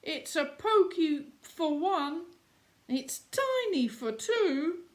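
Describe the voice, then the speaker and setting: A flustered little old lady out of a children's storybook, acted by a woman reader. Her voice sits high and swings widely in pitch. She complains and pleads in slow, drawn-out, plaintive phrases. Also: big pitch swings, heavily acted. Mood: distressed, pleading. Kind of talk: children's storybook read-aloud.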